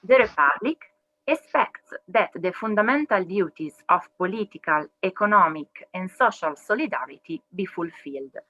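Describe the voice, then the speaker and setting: A woman from Italy giving a careful webinar talk as a legal researcher, pausing with fillers. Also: mid-range voice, lively intonation. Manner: careful, hesitant, many fillers. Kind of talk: webinar presentation. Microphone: video call. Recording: muffled video call audio.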